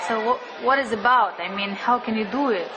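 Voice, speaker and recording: A woman interviewing people, asking questions in halting, broken phrasing. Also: on the high side, crowd noise, noisy background.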